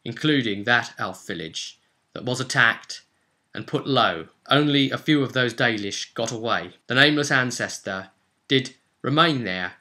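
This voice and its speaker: A man's voice: medium pitch, animated, unhurried, pushed and bright. A man narrating a tabletop fantasy game as game master, dramatic and intense, repeating phrases for emphasis.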